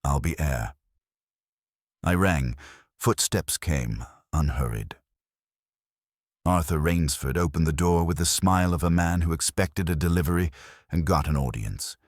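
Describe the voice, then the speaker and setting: A man's voice: rumbling low, normal speed, no room sound. A man reading scripted first-person detective fiction as a narrator, with a dry, wry delivery. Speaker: audiobook narrator.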